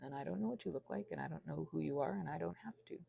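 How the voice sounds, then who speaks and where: Husky, low-voiced woman rambling confessionally. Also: highs cut off. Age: 30s. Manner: halting, self-deprecating, earnest. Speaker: ASMR channel creator. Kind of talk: rambling confessional ASMR monologue.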